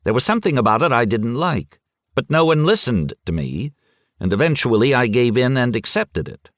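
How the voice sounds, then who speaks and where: A man reading an audiobook and voicing a calm, stubborn aging village man who speaks quietly and firmly. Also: medium pitch, normal speed, no echo, studio quality, voice pushed up, lightly acted. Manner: quiet and firm, sure of himself. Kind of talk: audiobook character voice.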